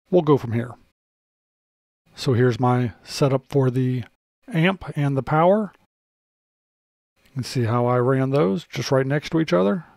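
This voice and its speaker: A man's voice: medium pitch, gravelly, darkish tone, no room sound, clean studio sound. An American man giving a casual, matter-of-fact hands-on product demonstration. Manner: matter-of-fact, unscripted narration. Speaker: product reviewer and presenter.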